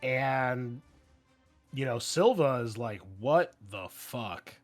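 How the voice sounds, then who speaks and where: A man running a tabletop roleplaying game as game master, narrating the action in a conversational, halting way. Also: medium pitch, expressive. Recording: faint music behind the voice. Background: faint music.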